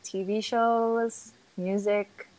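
A young woman from the Philippines with an American-sounding accent, co-hosting a casual podcast, chatty and quick to laugh. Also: medium pitch, level tone, crowd noise, rough recording. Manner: chatty, laughs often, trails off into quiet asides. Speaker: podcast co-host. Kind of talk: casual chat.

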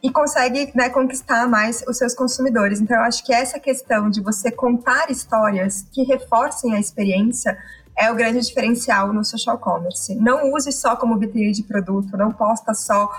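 A woman's voice: a little high, breathy edge, rough recording. A woman with a São Paulo Brazilian accent, explaining and telling stories conversationally in an enthusiastic way.

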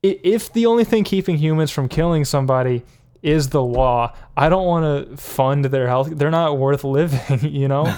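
A man's voice: fairly high pitch, normal speed, a little mellow, smooth. A man co-hosting a casual podcast, rambling through his points with many fillers and frequent laughs. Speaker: podcast co-host. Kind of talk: casual political chat.

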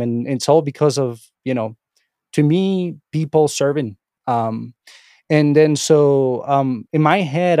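A man's voice: medium pitch, dark tone, no room sound, studio quality. A middle-aged man from Venezuela with a Venezuelan Spanish accent, talking casually as an interview guest, rambling with many restarts and fillers. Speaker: interview guest, instructor and author.

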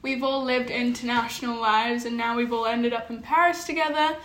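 Bright high-voiced teenage girl. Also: steady tone, brisk. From England and New York. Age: teens. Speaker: podcast co-host. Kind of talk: casual chat. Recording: echoey room with faint ambience.

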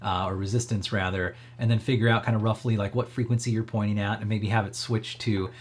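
Slightly husky man, casual tech host. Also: lowish voice, little pitch movement, a little fast. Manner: conversational, rambling, frequent hedging asides. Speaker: livestream host demonstrating electronics projects. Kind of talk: casual live tech demo, thinking aloud.

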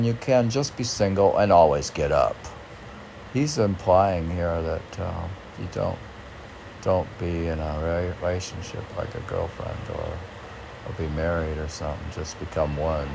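A man's voice: low-pitched, moderate pace, creaky, noisy background. A man reading lines of poetry and commenting on them in a casual, rambling, hesitant way, as if thinking aloud. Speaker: poet reading and musing on his own poems.

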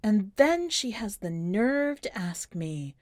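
A woman's voice: medium pitch, very animated, slow pace, a little mellow, no room sound, clean studio sound. A woman hosting a podcast and reading a first-person short story in a wry, conversational and emphatic way. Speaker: podcast host and story reader.

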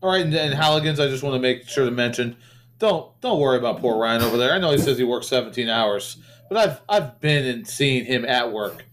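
A man's voice: medium pitch, animated, a little fast, fairly bright tone. A man co-hosting a podcast in casual chat, friendly and teasing, often repeating words as he talks. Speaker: podcast co-host.

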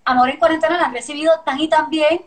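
A woman's voice: high-pitched, steady tone, fast. A young woman from Puerto Rico with a Puerto Rican accent, a singer-songwriter guest chatting candidly and easily. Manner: easygoing, laughing, candid. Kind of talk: casual interview chat.

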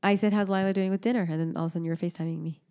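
A woman's voice: mid-range voice, steady tone, rapid-fire, warm tone. A woman in her thirties, co-hosting a podcast in casual chat, a chatty storyteller who laughs often. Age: 30s. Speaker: podcast co-host.

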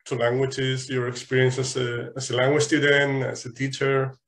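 A man's voice: medium pitch, level tone, moderate pace, fairly bright tone. A man hosting a podcast, asking questions in a loose way full of "you know" fillers. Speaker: podcast host. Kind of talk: casual interview hosting.

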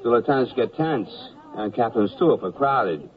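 An actor playing a dutiful, matter-of-fact army corporal, deferential and hesitant, in 1970s radio comedy style. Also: male voice, medium pitch, animated, moderate pace, close to an everyday voice. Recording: slightly dull old radio broadcast.

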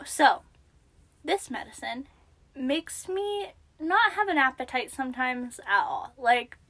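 A young woman co-hosting a podcast, chatting casually with a naturally high voice and a self-deprecating manner, sometimes dropping to a whisper. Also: animated, slow pace, a bit bright. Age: young adult. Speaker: podcast co-host. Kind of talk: casual chat.

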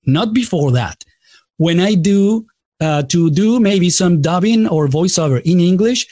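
A man's voice: high voice, expressive, a little slow, mellow, no echo, clean studio sound. A man with a Latin American Spanish accent, a native Spanish speaker, talking conversationally as a podcast host, often digressing and correcting himself. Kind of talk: casual conversational interview.

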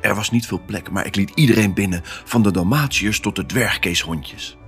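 A male actor voicing an excitable pet dog who tells his own Christmas adventure in an expressive, theatrical family audio drama style. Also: on the low side, moderate pace, faint music behind, close to an everyday voice. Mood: warm, joyful. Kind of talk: first-person storytelling in a family audio drama.

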